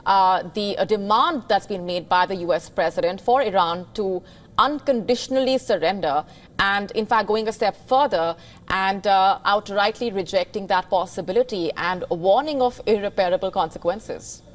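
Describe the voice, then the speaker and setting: A woman anchoring live news, brisk, slipping into fillers when she ad-libs her questions. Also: medium pitch.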